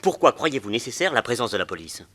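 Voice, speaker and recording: A jittery museum director, roomy sound. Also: male voice, medium pitch, very animated, quick pace, fairly bright tone. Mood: worried. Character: nervous excitable male museum director. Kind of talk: radio drama acting.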